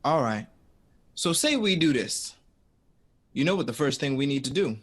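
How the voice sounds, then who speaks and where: A man's voice: mid-range voice, animated, normal speed, breathy edge. An actor playing an enthusiastic but practical male creative partner in an audio drama. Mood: intrigued.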